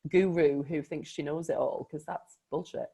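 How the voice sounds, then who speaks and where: A woman, a life coach talking in an expressive, chatty way in a casual conversation. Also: deep voice, moderate pace, gravelly, slightly rough. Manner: expressive, chatty, verbal processor. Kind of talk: casual chat.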